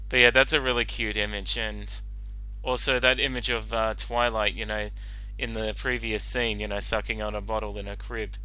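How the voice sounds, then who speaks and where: A man hosting a reaction video, commenting casually off the cuff in a halting, apologetic way. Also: on the low side, bright, forceful tone, smooth, no room sound, low-quality recording.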